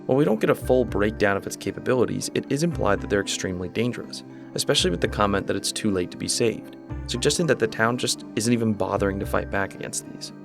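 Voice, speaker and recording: A man's voice: lowish voice, fast, vocal fry. A man hosting a video, casually breaking down a horror series in a conversational manner. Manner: relaxed, conversational, enthusiastic asides. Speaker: YouTube host explaining a horror series. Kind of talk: casual analysis commentary. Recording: voice-over with music behind.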